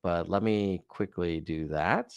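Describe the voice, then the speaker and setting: A man's voice: sits low, takes it slowly, gravelly. A man hosting a casual news commentary show, talking in a chatty way with offhand asides.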